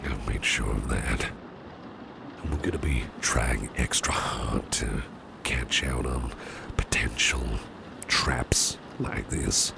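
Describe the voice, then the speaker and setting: Man playing a very deep, gravelly, doting boss. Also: background noise, full character voice. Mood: protective, earnest. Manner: old-fashioned genteel phrasing, unhurried, repeats himself. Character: doting businessman boss, courteous, protective, slyly menacing. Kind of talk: ASMR roleplay, soft personal attention.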